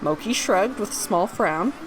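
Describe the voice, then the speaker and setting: A woman's voice: medium pitch, expressive, moderate pace, music in the background, poor audio. A woman reading her own fantasy story aloud, narrating scripted prose and its dialogue.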